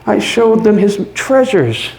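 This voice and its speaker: A man playing a boastful, unraveling mad murderer in a theatrical live reading of gothic horror, with dramatic pauses and swings between hushed and frantic. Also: sits high, sing-song, creaky, voice pushed up, full character voice. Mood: smug, excited. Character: gleeful, boastful, unraveling mad murderer confessing his crime. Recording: echoey room with background noise.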